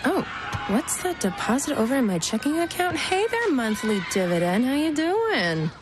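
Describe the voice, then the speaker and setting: A woman's voice: sits high, sing-song, normal speed, rough recording. A woman playing a cheerful, busy parent in a radio commercial, chatting playfully to herself. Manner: playful, chatty, self-talking.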